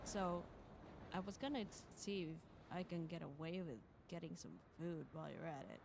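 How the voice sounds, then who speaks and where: A woman's voice: fairly low pitch, moderate pace, creaky. A woman chatting casually as she joins in on a game stream. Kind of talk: casual chat. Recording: very loud background noise.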